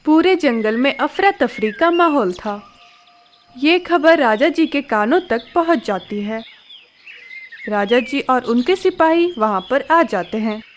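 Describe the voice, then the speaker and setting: A woman narrating a cartoon story in a steady, clear storytelling manner. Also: high-pitched, slow, a little hiss or hum.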